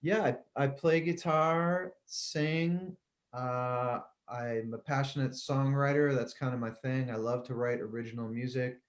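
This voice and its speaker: A man's voice: medium pitch, level tone, a little slow. A middle-aged man from Connecticut, a musician guest talking casually in an interview, rambling and laughing easily.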